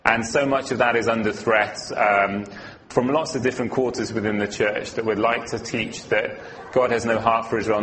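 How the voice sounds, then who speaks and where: A man's voice: fairly low pitch, fairly bright tone, slight background noise. A man from Wales giving an earnest, informal teaching talk as a guest speaker at a church, with a few fillers.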